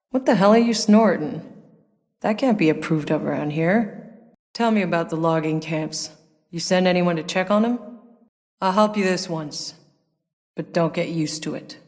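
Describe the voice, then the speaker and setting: A hardened female soldier from a sci-fi game, speaking on the low side. She is blunt and world-weary, with dry sarcasm and short, clipped phrasing. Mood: tense, irritated. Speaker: video game player character. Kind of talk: naturalistic game character voice lines.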